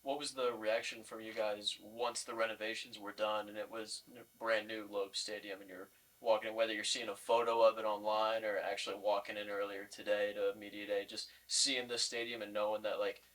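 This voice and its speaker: Guy asking sports questions, far from the mic, low flat voice. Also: brisk. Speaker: podcast co-host asking questions. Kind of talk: casual sports interview. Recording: distant from the microphone.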